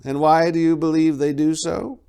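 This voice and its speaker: A man voicing an aged, suspicious monastery abbot with a stern, probing, measured manner in a fantasy audiobook. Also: a little high, flat delivery, dark tone, smooth, pitched above the performer's own voice, lightly acted. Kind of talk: dramatic fantasy audiobook reading.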